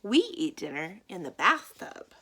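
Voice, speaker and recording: A woman's voice: mid-range voice, huge pitch swings, measured pace, sharp tone, husky, full character voice. A woman voicing a matter-of-fact, mildly exasperated child in a children's picture book read-aloud.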